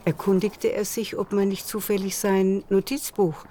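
A woman's voice: mid-range voice, little pitch movement, normal speed, warm tone, a little hiss or hum. A woman narrating a literary radio drama in scripted prose.

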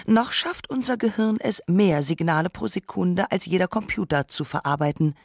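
A woman's voice: lowish voice, animated, normal speed, gravelly, breathy edge, dry recording, clean studio sound. A woman reading scripted narration for a radio documentary.

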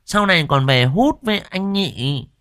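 A man doing a shrill, brash village woman. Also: sing-song, a little slow, no echo, studio quality, full character voice. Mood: bossy loud. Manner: bossy, shouting demands. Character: brash hot-tempered village woman, loud.

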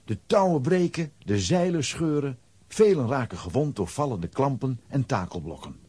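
A man narrating a children's radio play in a dramatic, expressive storytelling style. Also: mid-range voice, normal speed, husky, no room sound.

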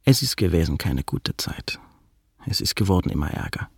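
An anxious young man in a radio play, torn by secret love. His voice is husky and creaky, with a Yiddish accent and a halting, subdued delivery, recorded close and dry. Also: sits low, very animated, dry recording, in a whisper, full character voice. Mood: sorrowful, troubled. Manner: halting, subdued, inverted word order. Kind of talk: radio drama dialogue and first-person narration.

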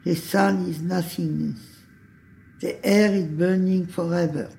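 A woman reading poetry slowly in a grave, deliberate manner. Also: fairly low pitch, steady tone, creaky, mellow. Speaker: English-language reader in a radio poem adaptation. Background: music.

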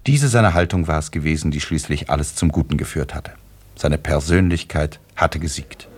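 A man narrating a literary radio play as a composed, dryly ironic storyteller, with a husky voice in measured delivery. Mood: composed, wry. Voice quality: very deep, husky. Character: wry, composed male storyteller of a literary novel.

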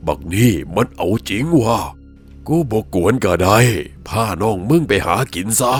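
Menacing old male bamboo-grove spirit played by a male narrator, Isan accent, slow and coarse, music underneath. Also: on the low side, voice pushed up, close to an everyday voice. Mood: grudging. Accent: northeastern Thai (Isan). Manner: slow, threatening, coarse-spoken.

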